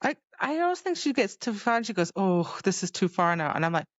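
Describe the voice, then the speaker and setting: A woman's voice: medium pitch, big pitch swings, a little fast, dry recording, dull audio. A woman co-hosting a casual book podcast, chatting informally and loosely quoting characters. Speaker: podcast co-host.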